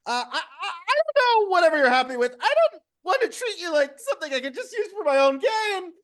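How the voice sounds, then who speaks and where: A woman acts out a nerdy teenage girl in an audio musical. Her voice is high and swoops about animatedly. She is kind and earnest, tripping over her words in a flustered way. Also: very animated, fairly quick, fairly bright tone, exaggerated voice. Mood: flustered, excited. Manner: stammering, flustered. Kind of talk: podcast musical read-through.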